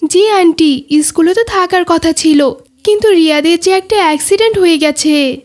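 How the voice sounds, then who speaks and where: A woman's voice: very high voice, normal speed. A woman voicing a polite, dutiful schoolboy in a cartoon, explaining things earnestly. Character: dutiful, polite, well-behaved schoolboy friend. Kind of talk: cartoon dialogue.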